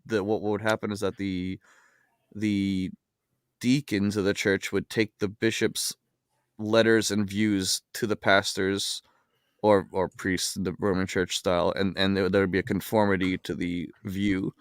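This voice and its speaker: A man's voice: deep voice, flat delivery, smooth, dry recording. Man in his thirties explaining, dry sound. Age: 30s. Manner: explanatory, halting then rushing. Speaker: podcast guest. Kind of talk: casual discussion.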